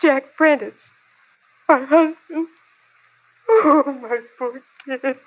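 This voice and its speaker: A woman's voice: high-pitched, slow. An American woman acting a poor, abandoned mother in an old radio drama, weary and bitter, with an emotional, melodramatic delivery. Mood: shocked grief. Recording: tinny, narrow-band old radio recording.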